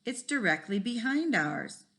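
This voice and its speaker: A woman's voice: on the high side, big pitch swings, unhurried, a bit bright, voice pushed up. Woman reading as an eager schoolboy detective. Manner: eager, inquisitive. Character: earnest, curious schoolboy detective, about eight.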